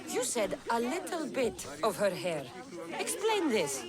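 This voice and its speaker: Blunt mercenary, lively high-set voice. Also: female voice, measured pace, over a noisy crowd. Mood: indignant. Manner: blunt, dry. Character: tough, wry female mercenary adventurer. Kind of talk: video game cutscene acting. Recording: music behind the voice.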